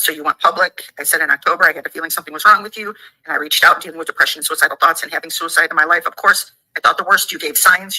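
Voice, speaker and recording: A woman with a husky voice, ranting sarcastically and profanely on a livestream. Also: low voice, bright, forceful tone, low-quality recording. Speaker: livestreamer in a played clip.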